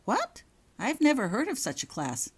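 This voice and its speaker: Storybook role: young witch pupil played by a woman, small-voiced, polite, earnest, very animated intonation. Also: fairly high pitch, normal speed, husky, voice pushed up, exaggerated voice. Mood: prompt, earnest.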